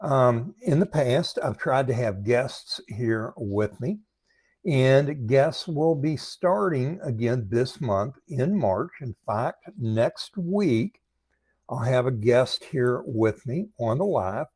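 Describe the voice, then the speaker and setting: A man hosts an informal livestream at a slow, halting pace. His voice is troubled by throat problems, and he speaks directly to his viewers. The sound is dry, with little room in it. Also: medium pitch, lively intonation, darkish tone, breathy edge, no echo. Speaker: live stream host, recovery coach. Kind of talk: casual livestream announcements.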